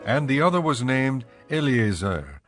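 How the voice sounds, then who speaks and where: A man narrating scripture for a dramatized audio production in a measured, formal storytelling manner. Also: mid-range voice, big pitch swings. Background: soft music.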